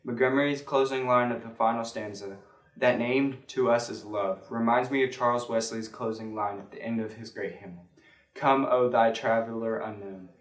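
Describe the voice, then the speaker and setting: A man reading a scripted church devotional on hymn history, occasionally stumbling over words and correcting himself. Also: medium pitch. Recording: roomy, echoing sound.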